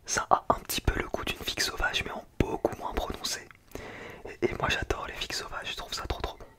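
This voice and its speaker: An ASMR creator, speaking in a breathy, unvoiced whisper in an informal, slangy, chatty way while reviewing food. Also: brisk. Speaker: ASMR video creator and host.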